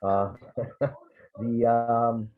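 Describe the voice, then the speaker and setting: A man's voice: medium pitch, flat delivery, drawn-out words. A Scottish man from Edinburgh, a tour guide and photographer, chatting in a rambling way with many restarts and asides. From Scotland. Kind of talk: casual storytelling on a video call. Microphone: video call. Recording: tinny phone-like video call audio.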